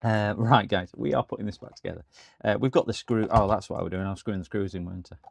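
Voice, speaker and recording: A man's voice: on the low side, quick pace, gravelly. A British man chatting casually on a repair livestream, rambling in a jokey, mock-indignant manner. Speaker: streamer doing electronics repairs. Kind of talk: casual livestream chat and prank phone call.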